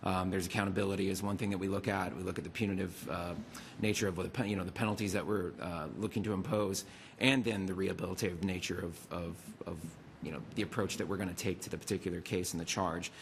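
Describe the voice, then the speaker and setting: A man from a city attorney's office, hoarse from a cold, presenting to a committee with many fillers and restarts. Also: low-pitched, steady tone, fast, a little hiss or hum. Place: city council meeting room.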